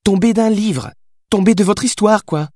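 A man's voice: high-pitched, normal speed, warm tone, dry recording, studio quality, pitched above the performer's own voice. A man voicing a brash, sassy, foul-mouthed young woman in an audiobook, with a cheeky, insistent way of talking. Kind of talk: audiobook character dialogue.

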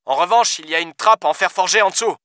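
A man's voice: sits high, quick pace, bright, forceful tone, no room sound, clean studio sound, voice pushed up. A man reading aloud, voicing a blunt, swearing male police officer in a raised voice. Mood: gruff. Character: gruff foul-mouthed male French cop.

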